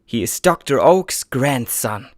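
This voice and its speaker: A man's voice: mid-range voice, lively intonation, slow, no echo, clean studio sound. A man voicing a timid, self-doubting boy trainer in a manga dub, hesitant and introspective. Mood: reflective. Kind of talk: manga dub narration and dialogue.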